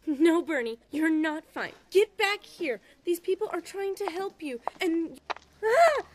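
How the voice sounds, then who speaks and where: A woman's voice: very high voice, lively intonation, a little slow, a little mellow, a little hiss or hum. A woman playing an earnest, easily confused young female store clerk in an audio drama. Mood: pleading.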